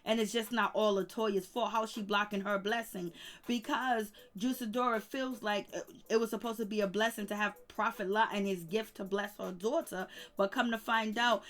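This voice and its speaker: A woman's voice: medium pitch, steady tone, moderate pace. A woman casually recapping reality TV gossip, rambling with lots of fillers and humming disapproving "mm, mm" asides. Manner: chatty, rambling with many "you know" fillers. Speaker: YouTube reality TV commentator.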